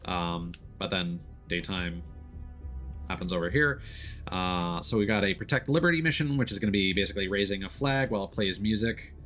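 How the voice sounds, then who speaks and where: A man's voice: low-pitched, fairly quick, a bit bright, soft background music. A man livestreaming a game, casually explaining how it works in a hesitant, thinking-aloud way. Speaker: video game streamer. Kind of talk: casual gameplay commentary, explaining mechanics.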